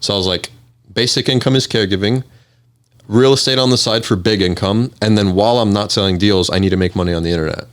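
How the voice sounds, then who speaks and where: A man's voice: on the low side, fast. A man in his twenties telling his own story as an interview guest in a casual, informal way, often quoting his thoughts. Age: 20s. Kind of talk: casual chat, personal storytelling.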